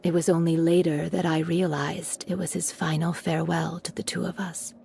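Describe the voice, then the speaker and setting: A lowish, gravelly-edged mature woman as a gentle game widow. She speaks softly and measuredly, with weary forgiveness. Faint noise lies behind her. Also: level tone. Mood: regretful, somber. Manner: measured, consoling. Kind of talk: video game voice acting.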